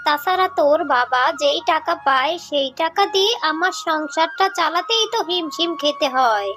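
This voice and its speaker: High, bright careworn cartoon fox mother, a woman acting. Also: exaggerated voice. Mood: careworn scolding.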